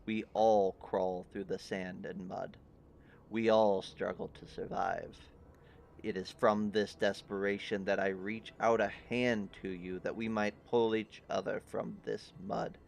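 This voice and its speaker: Man voicing a low, weary, desperate king. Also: vocal fry, a little hiss or hum. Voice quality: low, hushed, tight-jawed. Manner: grave, formal, measured oratory. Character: weary, desperate, proud king speaking low through clenched teeth.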